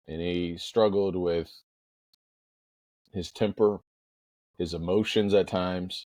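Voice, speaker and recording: A middle-aged man with a powerful voice, hosting a podcast in an emphatic, deliberate motivational monologue with storytelling. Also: low-pitched, darkish tone, no room sound. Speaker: podcast host.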